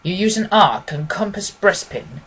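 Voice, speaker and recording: A woman voicing a confident, quick-witted gentleman detective from a storybook, precise and amused. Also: on the low side, lively intonation, a little hiss or hum, voice pushed up. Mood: assured. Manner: precise, expository, assured.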